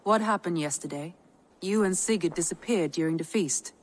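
An actress playing the concerned, composed wife of a Viking lord in a dramatic video game. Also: female voice, fairly low pitch, normal speed, slight background noise, natural voice, rough recording.

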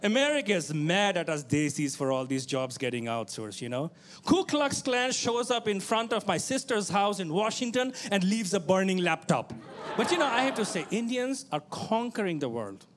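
A man from Bangladesh doing a stand-up comedy set on a club stage into a handheld mic, animated and full of put-on voices. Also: high voice, normal speed, noisy background.

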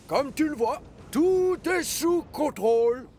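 High-voiced, eager crane engine. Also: male voice, animated, slow pace, background noise, exaggerated voice. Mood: confident. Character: eager, helpful male crane locomotive.

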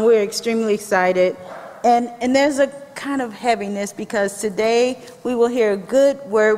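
A woman leads worship in a large chapel. She talks slowly, with measured phrases addressed to the congregation. The hall adds a long reverberation to her voice. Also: mid-range voice. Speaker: campus chaplain leading a chapel service. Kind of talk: addressing a congregation, welcome and prayer. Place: large indoor chapel with brick walls. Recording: echoey hall, picked up from the audience.

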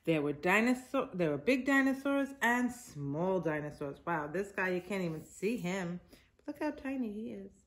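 A woman's voice: medium pitch, big pitch swings, normal speed, a little hiss or hum. A woman in her forties reading aloud like a teacher at children's storytime, stressing key words and adding little asides to the listeners. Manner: simple phrases with emphasized words and asides to children. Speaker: teacher reading a picture book to young children. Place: living room at home, indoors.

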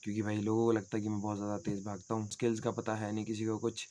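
A young man in his twenties, injured and subdued, talking fast to a phone camera held at arm's length in a small room, with frequent "bhai" and "yaar". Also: on the low side, completely flat delivery, mellow. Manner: fast, repetitive, says "bhai" and "yaar" often. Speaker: motovlogger. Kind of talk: casual vlog talk to camera.

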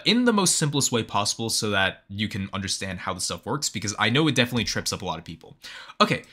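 A man with a bright, forward voice narrates a software tutorial. His pitch moves in a lively, animated way as he stresses key terms. He explains things step by step, like an instructor guiding a learner. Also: husky. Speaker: tutorial host.

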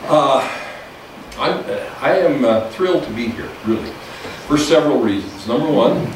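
A man in his sixties, a government teacher, giving a loud, folksy talk to a small audience in a meeting room. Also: mid-range voice, expressive, normal speed. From US (Idaho). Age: 60s. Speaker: guest speaker, government teacher. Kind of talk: informal speech to a small audience. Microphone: podium mic, heard from afar. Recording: echoey room, distant camera mic, hiss.

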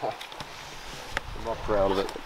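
A man's voice: on the low side, little pitch movement, extremely slow, heavy background noise. A young man in his twenties joking wryly with friends while backpacking outdoors. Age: 20s. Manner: wry, joking, laughs often. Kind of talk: casual chat. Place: outdoors in a forest.

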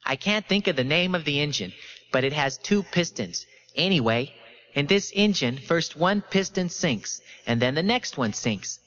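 Man playing an eerily eager teenage boy, high-pitched. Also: normal speed, lo-fi sound. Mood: waggish, deranged. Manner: energetic, eerily bright, clear. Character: unstable teenage schoolboy witness, waggish and falsely confident. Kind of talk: audiobook character reading.

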